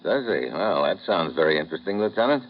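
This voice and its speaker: A very deep hard-boiled American agent. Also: male voice, animated, quick pace. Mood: terse. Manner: clipped, dry, hard-boiled. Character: tough American agent narrating his own case, middle-aged man. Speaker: lead and narrator. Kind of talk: 1950s radio drama acting. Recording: dull old radio broadcast with music.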